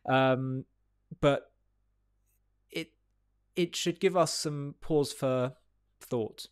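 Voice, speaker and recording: A man presenting reflective commentary in a measured, deliberate way, phrase by phrase. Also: mid-range voice, clear, resonant tone, no room sound, studio quality.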